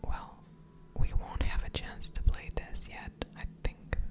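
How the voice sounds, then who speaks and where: A woman hosting a gaming ASMR session, whispering softly with mouth sounds as she comments on a card game. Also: a little slow.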